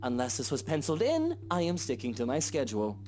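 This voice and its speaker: An actor playing an irritable, pompous rich husband with a British accent in a visual novel game. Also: male voice, mid-range voice, huge pitch swings, quick pace, a little mellow, soft background music. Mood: irritated. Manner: curt, pompous, exasperated.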